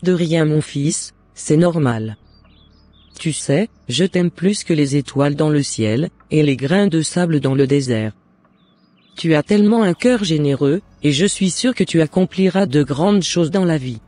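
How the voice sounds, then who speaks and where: A man's voice: a little high, lively intonation, a little slow, darkish tone. A man playing a loving, devout mother in a cartoon, giving earnest and encouraging advice in formal words. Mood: tender, proud. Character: devout, loving mother advising her son. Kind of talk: scripted cartoon dialogue.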